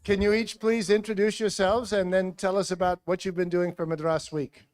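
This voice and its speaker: A man hosting a school assembly, asking students brisk, encouraging follow-up questions on a handheld microphone. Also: high-pitched, flat delivery, a little mellow. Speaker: school assembly host and teacher.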